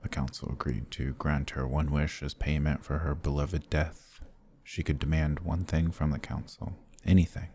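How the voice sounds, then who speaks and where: A man voicing a courtly, gentle vampire lord in a fantasy ASMR roleplay, speaking in a formal, measured, soft-spoken way. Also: heavily acted. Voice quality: husky, low.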